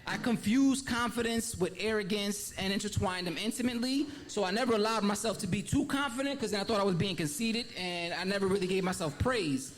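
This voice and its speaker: Fast-talking upbeat male host. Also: high-pitched, a bit bright, a little hiss or hum. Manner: upbeat, fast, repeats "man" and "right". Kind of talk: live event interview, casual chat.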